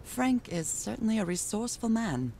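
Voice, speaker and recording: A woman's voice: a little high, sing-song, normal speed, mellow. An actress playing a thoughtful 1930s woman explorer in a video game, musing aloud to herself. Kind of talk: video game character monologue.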